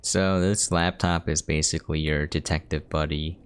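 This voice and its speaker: A man's voice: extremely low pitch, fairly even intonation, normal speed, clear, resonant tone. A man giving casual, offhand gameplay commentary as a streamer, informal and a little sweary. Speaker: video game streamer and reviewer. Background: soft game music.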